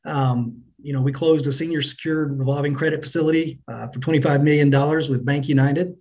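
A middle-aged man answering business interview questions as a company finance chief, in a measured, businesslike manner. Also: a little high, steady tone, darkish tone. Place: indoors on a remote video call.

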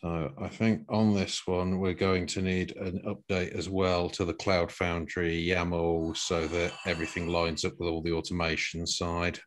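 A man chairing a work meeting on a video call, speaking with hesitant pauses. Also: low voice, level tone. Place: small home room, video call.

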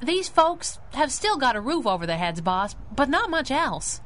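An actress playing a feisty, protective young crimefighting sidekick with slangy, wisecracking tough talk in a radio drama. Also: female voice, high-pitched, sing-song, moderate pace, fairly bright tone. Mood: angry, frustrated. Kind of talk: old-time radio drama acting.

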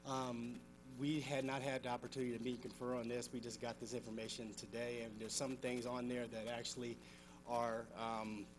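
Hesitant, flat-voiced union man. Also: medium pitch, brisk, noisy background. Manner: hesitant, many fillers. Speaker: union representative. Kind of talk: public comment. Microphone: standing audience microphone.

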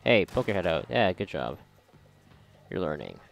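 A man's voice: sits low, very animated, moderate pace, gravelly, faint music behind. A man giving casual, sarcastic, rambling and profane commentary as he plays a video game. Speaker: gamer commentating on a game playthrough. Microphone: close mic, voice-over on gameplay.

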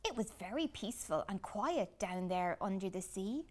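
A woman reads a children's picture book expressively, with deliberate dramatic pauses. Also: mid-range voice, lively intonation, a little hiss or hum. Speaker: children's storytime reader. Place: small furnished room indoors.

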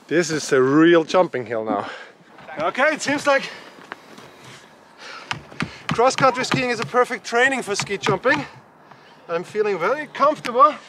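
A man's voice: high voice, measured pace, long pauses. Upbeat man vlogging on noisy ski hills. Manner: upbeat, laughs often, excitable. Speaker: vlog host, cross-country skier. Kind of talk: casual vlog chat. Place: outdoors on snowy ski hills and in a changing room. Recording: noisy outdoor camera sound.

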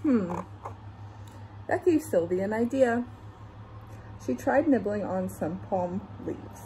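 A young adult woman reading a picture book aloud to young children, expressive and deliberate, with playful asides. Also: medium pitch, a little hiss or hum, poor audio. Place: small room indoors.

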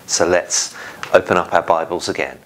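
A middle-aged man, leading prayer and reading scripture in a measured, deliberate way as a church minister. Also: low voice, steady tone, fairly bright tone, poor audio. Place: small home conservatory.

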